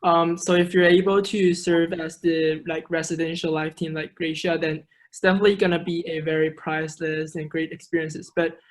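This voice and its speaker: A man's voice: on the high side, little pitch movement, normal speed, few pauses. A young man from Taiwan, a second-year student, introducing himself on a video call. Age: 20s. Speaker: student ambassador.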